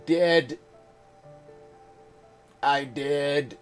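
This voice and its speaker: A man's voice: fairly high pitch, fairly even intonation, very slow, clean tone. A man doing casual live game-stream commentary, rambling and repeating himself. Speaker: game streamer at a charity event. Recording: music playing behind the voice. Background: game music.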